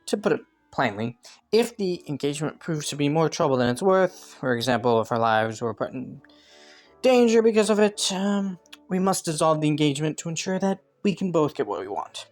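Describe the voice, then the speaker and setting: Young prince female performer: low voice, courtly and calculating yet easily flustered, intimate roleplay addressed to the listener, music underneath. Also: sing-song, fairly quick, a little mellow. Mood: businesslike, composed. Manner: formal courtly phrasing with flustered stammers.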